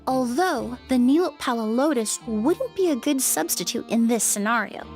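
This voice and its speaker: An actress voicing a poised young perfumer who calmly and precisely explains how a crime was done. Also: female voice, on the high side, normal speed, soft background music. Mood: explaining. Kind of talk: game voice acting.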